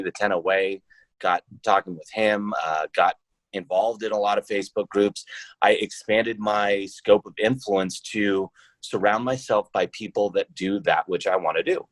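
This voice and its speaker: Male podcast guest, low voice, very dry close sound, deliberate conversational answers. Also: no echo, poor audio. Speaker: podcast guest, founder of a role-playing podcast.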